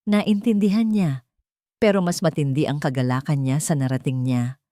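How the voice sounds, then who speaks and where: A woman's voice: low voice, normal speed, dark tone, dry recording, studio quality. A woman reading a scripted romantic story as a dramatic narration.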